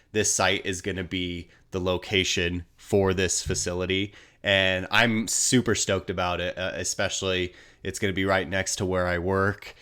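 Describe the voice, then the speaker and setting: Deep-voiced, slightly nasal American man with a cold. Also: a bit bright. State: getting over a cold. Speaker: hockey news host. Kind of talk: casual sports news commentary.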